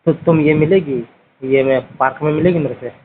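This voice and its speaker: A man's voice: fairly high pitch, normal speed. A man with an eastern Indian Bihar-Jharkhand Hindi accent in a casual private phone chat, pressing insistently with repeated questions. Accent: eastern Indian Hindi, Bihar-Jharkhand region. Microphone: phone line. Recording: noisy, narrowband phone call recording.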